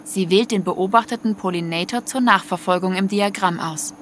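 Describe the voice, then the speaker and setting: A woman's voice: medium pitch, moderate pace, fairly bright tone, a little hiss or hum. A woman narrating a scripted audio story in prose. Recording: music under the voice.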